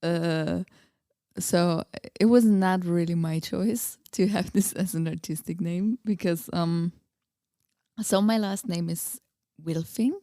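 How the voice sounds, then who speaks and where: A woman's voice: on the low side, takes it slowly, dark tone, dry recording, studio quality. A woman from Austria, chatting informally and a little hesitantly as a guest in a casual interview. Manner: laughs easily, hesitant and informal.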